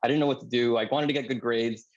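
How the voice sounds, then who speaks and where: A man in his thirties from California talks through his own story at an easy, chatty pace, dropping frequent ums and laughing often. His voice sits in a middle pitch. The recording sounds a little dull and lo-fi. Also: fairly even intonation, quick pace. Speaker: anesthesiologist speaking at a mentoring workshop. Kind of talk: casual personal story.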